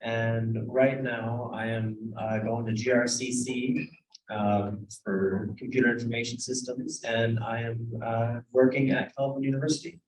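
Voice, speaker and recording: A man's voice: medium pitch, level tone, measured pace. A man in his twenties from Russia gives a plain, orderly self-introduction with a few fillers. The room around him sounds roomy. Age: 20s. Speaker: guest.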